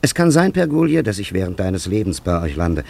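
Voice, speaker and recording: An actor playing a dignified, pleasure-loving time traveller from an ancient land in a radio drama, with a gravelly, husky voice and lofty, formal diction. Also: male voice, sits low, sing-song, a little fast, darkish tone, exaggerated voice. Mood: stately, courteous. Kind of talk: German radio drama acting.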